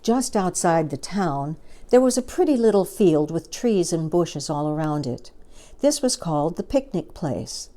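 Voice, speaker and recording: A woman storyteller reads a children's book aloud. Her voice sits fairly low, and she narrates expressively. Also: expressive, a little slow, mellow.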